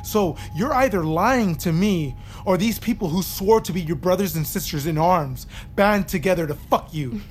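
An actor playing a stern military psychiatrist who presses a patient insistently and accusingly in an audio drama. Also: male voice, sits high, moderate pace, few pauses, faint music behind, natural voice. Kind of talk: audio fiction drama acting.

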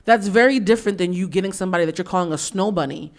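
A woman's voice: lowish voice, very fast. A woman in her forties from the East Coast of the United States, hosting a candid podcast conversation and telling stories in an emphatic, opinionated way. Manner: emphatic, opinionated storytelling with acted-out quotes. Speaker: podcast host.

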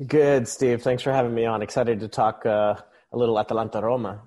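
A man's voice: medium pitch, steady tone, brisk, darkish tone. A man in his thirties from the Philippines, talking casually about sports as a podcast guest. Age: 30s. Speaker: podcast guest, football supporters' club member. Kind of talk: casual conversational sports commentary.

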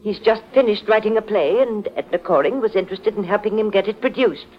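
A proud, anxious mother of a grown son, acted by a woman in a vintage radio mystery. She speaks formally and defensively at a medium pitch, on a muffled old recording. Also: a little fast, close to an everyday voice. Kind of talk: golden age radio drama acting.

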